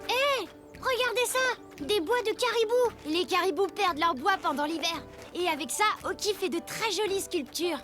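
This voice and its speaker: Cartoon dub: helpful, level-headed child, extremely high pitch, friendly delivery, music underneath. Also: female voice, expressive, normal speed. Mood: knowing.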